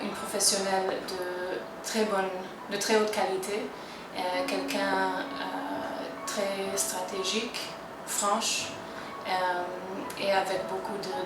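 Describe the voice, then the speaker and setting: A woman speaks in a flat, measured way, pausing often between phrases. Her voice rings through a very echoey space from a distance, with loud music playing underneath. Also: medium pitch. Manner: measured, hesitant with fillers. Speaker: colleague giving a farewell tribute. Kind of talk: recorded testimonial.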